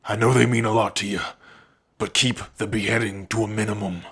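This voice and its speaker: Man as a wry, husky fantasy fighter. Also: on the low side, steady tone, brisk, a bit bright. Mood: wry, cautious. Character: level-headed, wry male comrade of an orc warrior. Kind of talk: ASMR fantasy roleplay acting.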